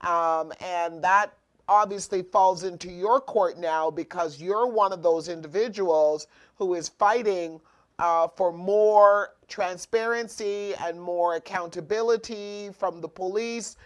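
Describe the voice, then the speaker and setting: A woman's voice: on the low side, takes it slowly. A woman hosting a talk show, reading budget figures aloud and stumbling over and correcting her words.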